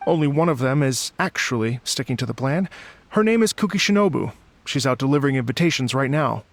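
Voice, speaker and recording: A man's voice: medium pitch, expressive, a little fast, close to an everyday voice. A man playing a friendly, earnest festival organizer in a game, polite and slightly hesitant. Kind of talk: animated game dialogue.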